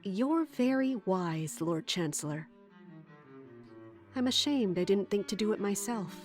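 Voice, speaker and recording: A woman's voice: mid-range voice, lively intonation, unhurried, soft background music, close to an everyday voice. An actress playing a composed, formally spoken woman of a royal castle in a fantasy audio drama. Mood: deferential.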